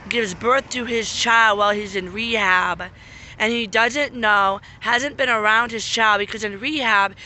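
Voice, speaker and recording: A man who is losing his voice and getting sick, making an earnest, repetitive spoken appeal and often clearing his throat. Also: high-pitched, pushed and bright, a little hiss or hum. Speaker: vlogger making a public appeal. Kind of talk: unscripted appeal to camera.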